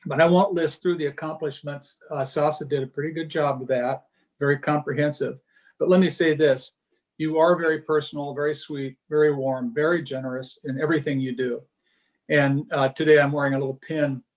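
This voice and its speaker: A man giving an award speech over a video call, with many pauses and fillers. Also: fairly high pitch, steady tone. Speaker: presenter of the award.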